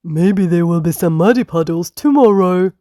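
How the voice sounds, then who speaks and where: A woman's voice: lowish voice, normal speed, mellow, no room sound, clean studio sound, close to an everyday voice. A woman voicing a good-natured, bumbling father pig with a hearty, mild manner. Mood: hopeful.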